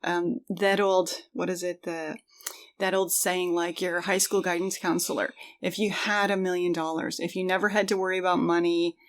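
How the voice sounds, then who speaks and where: A woman's voice: fairly low pitch, flat delivery, moderate pace. A woman co-hosting a conversational podcast, speaking in an earnest, reflective way. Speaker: podcast co-host.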